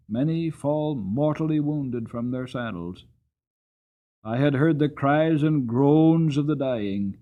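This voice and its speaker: A man's voice: mid-range voice, narrow, tinny audio, natural voice. A man voicing an old cavalry horse in a storybook, proud and earnest, recounting war with dramatic delivery. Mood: grave. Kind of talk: dramatic audiobook character reading.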